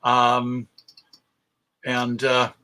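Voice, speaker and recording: A man's voice: mid-range voice, completely flat delivery, very slow, sharp tone, clear, resonant tone. A man speaking casually and apologetically as he presents live over a video call. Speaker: guest presenter, radio show host. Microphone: video call microphone.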